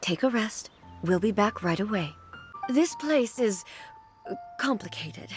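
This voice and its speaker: A woman acts a courteous, regal ruler from a video game. Her speech is formal and composed at a middling pitch. Music plays faintly underneath. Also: expressive, lightly acted. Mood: reassuring. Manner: formal, measured. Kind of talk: English video game voice acting.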